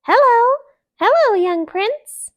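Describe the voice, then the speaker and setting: A woman voicing excited forest animals in a children's storybook, calling out eagerly. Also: very high voice, takes it slowly, no room sound, muffled recording, pitched above the performer's own voice, full character voice. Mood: joyful greeting. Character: excited forest animals, motherly rabbit spreading news.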